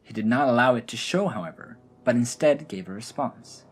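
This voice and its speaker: A woman's voice: a bass voice, animated, a little fast, husky. A woman narrating a scripted fantasy audio drama as its storyteller.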